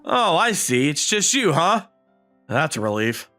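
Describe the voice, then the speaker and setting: A man's voice: fairly high pitch, sing-song, unhurried, pushed and bright, reverberant, in a large hall, soft background music, full character voice. A man voicing an easily flustered, incredulous teenage schoolboy in a romantic comedy comic dub. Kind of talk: anime-style comic dub, dialogue and inner monologue.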